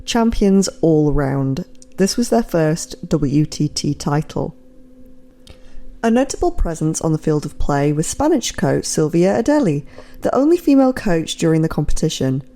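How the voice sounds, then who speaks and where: A woman's voice: low-pitched, dark tone. An English woman hosting a podcast, reading scripted narration between interviews. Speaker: podcast host.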